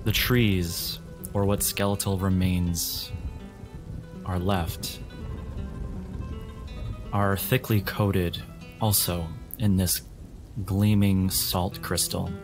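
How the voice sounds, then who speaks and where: A man narrating a tabletop game as game master, in a deliberate, dramatic and ominous storytelling style. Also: low-pitched, flat delivery, music in the background. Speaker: game master of a role-playing podcast.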